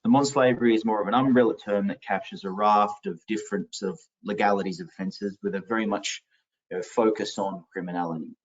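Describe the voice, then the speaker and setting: A low-voiced man with an Australian accent works through facts and definitions at an even, explanatory pace. The sound is dull and lo-fi, and he sits a little back from the mic. It is a video call from a home office. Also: fast talker. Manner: fact-heavy, explanatory, steady. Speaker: interviewee, sustainability executive. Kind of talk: webinar interview answering. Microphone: headset. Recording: lo-fi distant video call audio.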